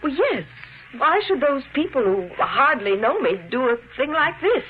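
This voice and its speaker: Insistent young wife, polished and questioning, on a tinny old radio drama recording with faint noise. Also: female voice, sits high, sing-song, moderate pace. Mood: insistent, worried. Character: young bride, puzzled, insistent mistress of mansion. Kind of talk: 1950s radio drama acting.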